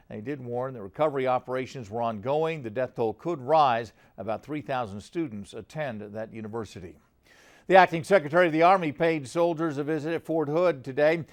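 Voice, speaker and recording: A man with an American accent reading scripted news as a television anchor. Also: mid-range voice.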